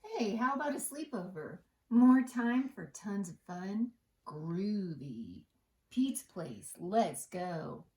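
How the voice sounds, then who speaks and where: A woman's voice: medium pitch, very animated, slow, warm tone, reverberant. A woman voicing a cool, easygoing male cat in a children's picture-book read-aloud, speaking in a singsong way. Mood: upbeat, groovy. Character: cool laid-back groovy male cartoon cat.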